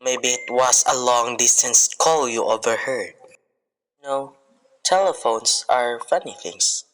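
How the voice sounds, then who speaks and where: Actor doing bored condescending cop on a phone call, radio drama, sharp bright voice, placating. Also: mid-range voice, slow, a little hiss or hum. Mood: patronizing, dismissive. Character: unhurried, patronizing, dismissive city police sergeant on phone. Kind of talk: old-time radio thriller acting.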